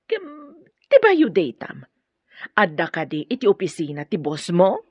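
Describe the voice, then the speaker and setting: A woman's voice: lowish voice, wildly swooping pitch, a little slow, a little mellow, husky, dry recording, dull audio, exaggerated voice. A woman acting as a suspicious, possessive, indignant woman in an Ilocano radio drama. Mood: suspicious, displeased.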